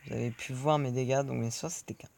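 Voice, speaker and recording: A man's voice: mid-range voice, fairly even intonation, fast, creaky, darkish tone, slight background noise. A man giving rambling let's play commentary over his tank game, chatting to his viewers between shots. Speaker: gaming video creator.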